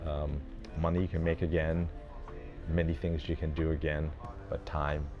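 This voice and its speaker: A man's voice: a bass voice, level tone, moderate pace, highs cut off, music in the background. A sixty-year-old man talking reflectively and hesitantly in an interview.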